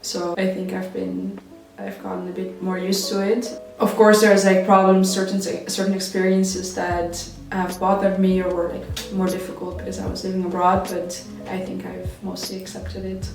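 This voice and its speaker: A woman's voice: medium pitch, monotone, moderate pace, creaky, few pauses, darkish tone, soft background music. A woman in her twenties from the Netherlands, an exchange student giving casual interview answers with hesitant fillers. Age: 20s. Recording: very echoey room, mic far off.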